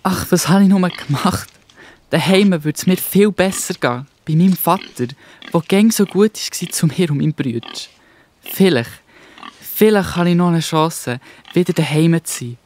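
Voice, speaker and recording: A man's voice: sits high, moderate pace, darkish tone, a little hiss or hum, lightly acted. A man playing a humble, remorseful young runaway son, pleading, in a Swiss German children's Bible radio play. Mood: regretful, hopeful. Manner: despairing, pleading.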